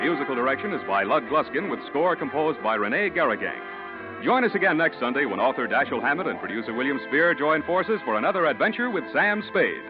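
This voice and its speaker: A man with an American accent announcing in polished, emphatic, scripted 1940s radio style. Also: medium pitch. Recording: muffled 1940s radio broadcast.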